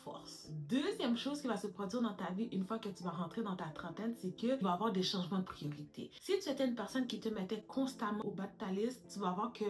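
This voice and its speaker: Woman in her 30s, advice vlog to camera, mid-pitched voice, soft music underneath. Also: lively intonation, brisk, hardly any pauses. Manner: addresses viewers warmly as girls, sprinkles English words. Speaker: lifestyle YouTuber.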